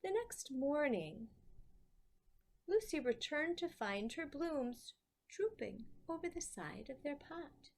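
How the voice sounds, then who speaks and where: A woman reading a children's story aloud with deliberate phrasing and dramatic emphasis. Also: sits high, very animated.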